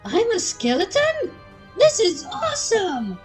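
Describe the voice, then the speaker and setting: A hyper, mischievous girl pony in a cartoon fan story. The voice is extremely high and very animated, excitable and squealing, with music behind it. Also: slow pace, heavily acted. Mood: excited. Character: hyper, giggly, mischievous young female party pony.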